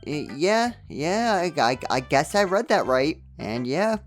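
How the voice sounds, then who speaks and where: Wry, animated game recap narrator. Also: mid-range voice, unhurried, faint music behind. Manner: wry, joking asides. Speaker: video game reviewer.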